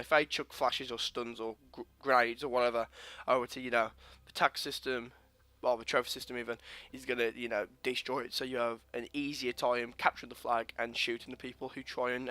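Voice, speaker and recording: A man's voice: mid-range voice, bright, forceful tone, no room sound. A young man with a stuffy, slightly sore-throated voice rambles through his setup, full of ums and restarts. His voice sits mid-range, recorded close and dry with faint music underneath. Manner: rambling, many fillers and restarts. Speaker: gaming channel host. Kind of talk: casual gameplay commentary over menus.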